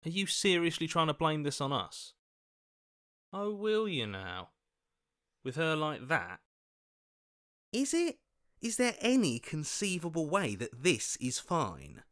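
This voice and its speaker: A man's voice: a little high, sing-song, slow, long pauses, fairly bright tone, exaggerated voice. A man playing an anxious, earnest hero in a video game, questioning and pleading like a voice of conscience. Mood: wry, worried. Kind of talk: video game character voice acting.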